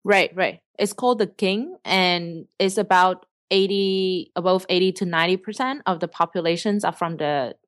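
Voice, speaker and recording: A woman's voice: fairly low pitch, moderate pace, few pauses, dry recording, studio quality. A young woman from Vietnam with a Vietnamese accent, earnestly explaining her work at length in an interview. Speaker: startup cofounder guest. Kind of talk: interview answers.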